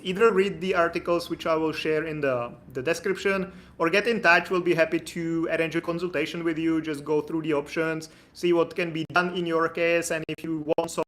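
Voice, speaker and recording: Bright-voiced Czech man hosting a livestream. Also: fairly high pitch. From Czech Republic. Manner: casual and chatty, with joking asides to viewers. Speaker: immigration consultant hosting a live stream. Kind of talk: live stream Q&A.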